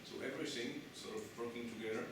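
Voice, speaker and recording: A man's voice: medium pitch, steady tone. Man lecturing in an echoey hall. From Czech Republic. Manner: halting, many restarts and fillers, asks the audience questions. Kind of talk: conference lecture. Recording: echoey hall, distant mic, noisy.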